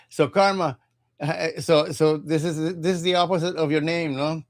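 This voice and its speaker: A man from Cuba, reading tarot cards in a halting, casual livestream chat and often repeating his words. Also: fairly high pitch, steady tone. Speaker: tarot reader.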